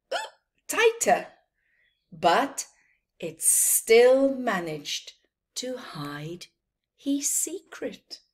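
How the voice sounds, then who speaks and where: A middle-aged woman reading a children's storybook aloud with expressive, dramatic phrasing and emphasised words. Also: on the high side. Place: small plain room indoors.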